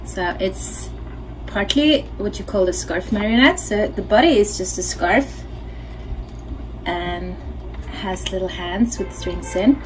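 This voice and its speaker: A woman's voice: mid-range voice, slow. Norwegian-accented woman, noisy home recording. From Norway. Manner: informal, thinking aloud as she demonstrates. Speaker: puppeteer teaching a craft workshop. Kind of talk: casual craft tutorial. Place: small home studio, indoors.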